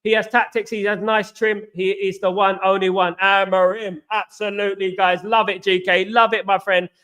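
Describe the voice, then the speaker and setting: A man's voice: high voice, flat delivery, moderate pace, a bit bright, smooth. An English man hosting a casual livestream, chatting loosely, reading out viewer comments and greeting viewers by name. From England. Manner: chatty, repeats "absolutely" and "big up". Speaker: livestream host.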